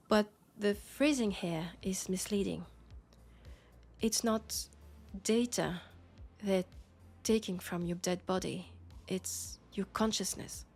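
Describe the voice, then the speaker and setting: A woman with a French accent playing a grave, caring futuristic policewoman in an intimate sci-fi audio roleplay, speaking in measured short phrases. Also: mid-range voice, a little mellow. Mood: grave, confiding.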